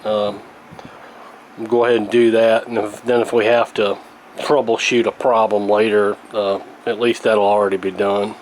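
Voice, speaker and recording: A man's voice: on the low side, little pitch movement, normal speed, a little hiss or hum, rough recording. A man giving casual hands-on radio repair commentary, hesitant and thinking aloud as he works. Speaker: radio repair hobbyist, host.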